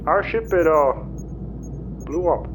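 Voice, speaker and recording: An actor playing an awkward, evasive, hesitant stranger who stammers, in a sci-fi audio comedy. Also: male voice, fairly high pitch, lively intonation, slow pace, music in the background. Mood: nervous, evasive. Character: awkward, evasive stranger offering a suspicious job.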